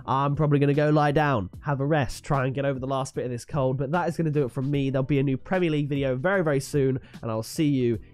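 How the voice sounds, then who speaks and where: A man's voice: mid-range voice, fairly even intonation, fast, hardly any pauses, darkish tone, soft background music. A man with a stuffy nose from a cold, chatting confidently through his football predictions as the host of his own channel. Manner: chatty, confident, hypes the fixtures. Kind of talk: solo vlog match predictions.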